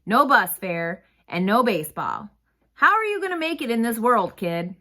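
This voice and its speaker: A woman voicing a gruff, grudgingly kind male bus driver in a storybook read-aloud, with a brusque, wry, grumbling manner. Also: on the high side, big pitch swings, normal speed, sharp tone.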